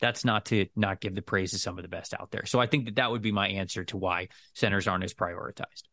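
A man's voice: low voice, fairly even intonation, fast, sharp tone, no room sound. A man co-hosting a sports podcast, talking through football analysis casually and explaining his points with careful clarifications.

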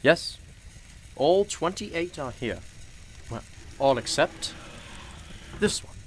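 A man playing a kindly, wise and slightly mysterious shopkeeper in a radio play, speaking in a formal, measured, reassuring way. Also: on the high side, animated, noisy background. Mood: courteous, knowing.

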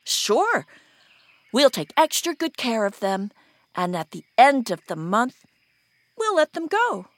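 A high-pitched, gushing storybook auntie, acted by a woman with a scheming streak. She draws her words out slowly and theatrically, as in a children's folktale. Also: sing-song, no echo, heavily acted. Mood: sweetly persuasive.

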